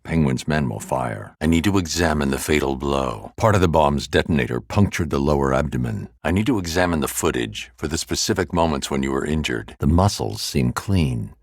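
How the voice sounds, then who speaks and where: Grim brooding vigilante detective, video game, extremely deep voice, clipped and methodical, dry studio sound. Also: male voice, animated, brisk, hardly any pauses, no room sound, heavily acted. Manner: low, grave, clipped and methodical. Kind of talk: game voice acting. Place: recording studio. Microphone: close studio mic.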